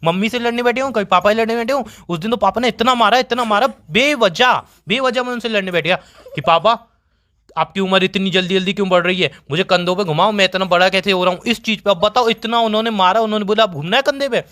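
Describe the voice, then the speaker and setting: A man's voice: sits high, fast, a bit bright. A man, playing an exasperated, teasing boyfriend who rambles and complains in an acted phone-call comedy. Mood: exasperated complaining. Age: 20s. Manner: rambling, complaining, dramatic storytelling. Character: exasperated, teasing young boyfriend bickering with his girlfriend.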